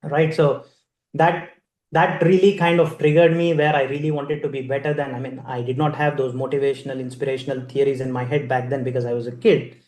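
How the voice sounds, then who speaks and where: A man's voice: mid-range voice, flat delivery, brisk, a little mellow. Indian man telling his story in an echoey hall. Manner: storytelling, conversational, many fillers and restarts. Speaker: chief guest speaker. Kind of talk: personal story speech at a ceremony.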